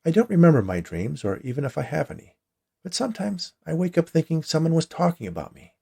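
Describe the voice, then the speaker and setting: A man's voice: a little high, big pitch swings, quick pace, vocal fry, mellow, breathy edge. A man reading his own short story aloud as a scripted first-person narration.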